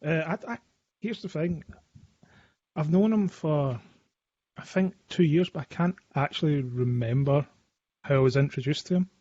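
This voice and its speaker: A man with a somewhat high voice chats in an informal, slightly halting, joking way. The recording is very dry and a bit dull, like a lo-fi call. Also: mellow, no room sound. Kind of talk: casual joking group chat.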